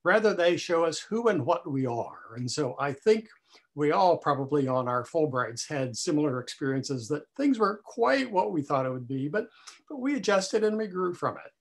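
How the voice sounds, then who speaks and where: An older man moderating over a laptop webcam from a room at home. His voice sits somewhat high for a man, and he speaks reflectively, often repeating words as he goes. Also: animated, moderate pace. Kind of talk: moderating a video conference.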